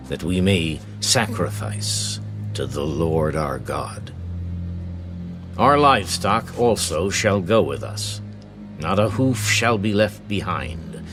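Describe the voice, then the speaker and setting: An actor playing a solemn, resolute prophet in a dramatised Bible reading, grave and declamatory. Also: male voice, deep voice. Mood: firm. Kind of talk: dramatised audio Bible acting.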